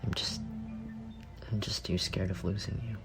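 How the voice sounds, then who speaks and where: Music plays behind a male actor as a lovestruck young man in an intimate ASMR roleplay. His voice is low with a heavy creak. He is shy and tender, hesitating often and sinking into breathy murmurs. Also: steady tone, normal speed. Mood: hopeful, uncertain. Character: shy, lovestruck young roommate confessing softly to a sleeping friend.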